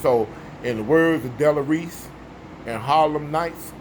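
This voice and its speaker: A man with a baritone voice giving an emphatic, preachy opinion monologue, repeating his points for effect. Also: a little slow, a little hiss or hum, rough recording. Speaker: vlogger.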